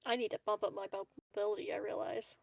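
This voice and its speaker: A woman's voice: mid-range voice, flat delivery, quick pace, gravelly, dry recording, clean studio sound. A woman playing a tabletop game, chatting casually in a playful, salty-tongued way. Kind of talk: casual chat.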